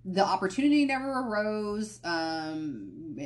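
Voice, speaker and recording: A woman's voice: medium pitch, sing-song, unhurried. A woman hosting a podcast on her own, talking candidly and rambling a little, often going off on tangents and correcting herself. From United States. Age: adult, past college. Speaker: podcast host. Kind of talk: casual solo podcast talk.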